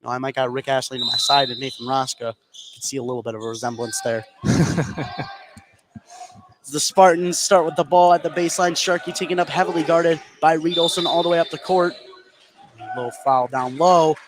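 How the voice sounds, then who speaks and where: A higher-voiced American man works as a play-by-play announcer at a basketball game. He gets excited and draws out words on big plays. Gym noise is audible behind him. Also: fairly quick. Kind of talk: live basketball play-by-play commentary. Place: indoor high school gym. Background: gymnasium ambience.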